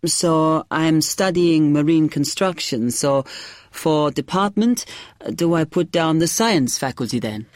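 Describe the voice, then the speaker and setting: A polite young international student speaks in a low voice with a hesitant, questioning manner. The everyday acted dialogue is recorded dry, with no room sound. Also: female voice, animated, a little slow, darkish tone, dry recording. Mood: polite, unsure. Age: young adult.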